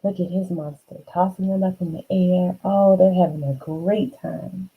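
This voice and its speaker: A woman reading a children's storybook aloud, unhurried, with dramatic emphasis and chatty asides to the listener. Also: on the low side, fairly even intonation, vocal fry, husky, phone-quality sound.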